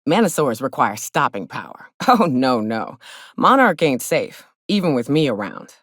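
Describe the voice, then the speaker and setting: An actress playing a hard-drinking frontier hunter and guide in a video game, blunt and wry, with folksy speech. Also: female voice, extremely low pitch, very animated, unhurried, fairly bright tone, no echo, clean studio sound, exaggerated voice. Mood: grim, knowing. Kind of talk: video game companion dialogue acting.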